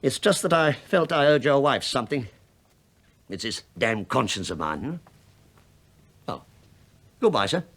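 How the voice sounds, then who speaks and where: A man's voice: mid-range voice, very animated, a little slow, pauses often, a bit bright, exaggerated voice. An actor playing a sly, shabby British investigator in a radio play, sardonic and mock-deferential as he probes. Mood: rueful. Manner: sardonic, mock-deferential, probing, with dry understatement. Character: wry, sly, shabby male investigator posing as police inspector. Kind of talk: radio drama acting.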